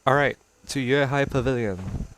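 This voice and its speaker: A man's voice: mid-range voice, moderate pace, fairly bright tone, a little hiss or hum, rough recording. A man streaming a game playthrough, casually commentating with wry asides and reading on-screen text aloud. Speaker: streamer playing through a game.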